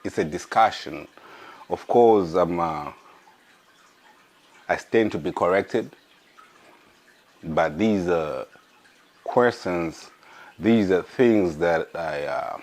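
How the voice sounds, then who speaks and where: Podcast host, hoarse, very low voice, slow and searching. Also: male voice, a little mellow. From Africa. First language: an African language. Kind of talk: casual monologue.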